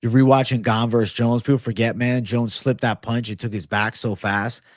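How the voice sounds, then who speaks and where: A man's voice: medium pitch, level tone, moderate pace, no echo, clean studio sound. A young American man hosting a casual MMA livestream, reading viewer messages into a desk mic and chatting in a slangy, easygoing way. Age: young adult. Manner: laid-back, slangy, says bro a lot. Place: home studio room with shelves. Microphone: desk microphone in front.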